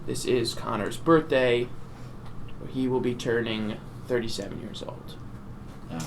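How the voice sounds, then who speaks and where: A man running a campaign staff meeting, going through the agenda in a flat, businesslike way. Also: medium pitch, unhurried, slight background noise, rough recording.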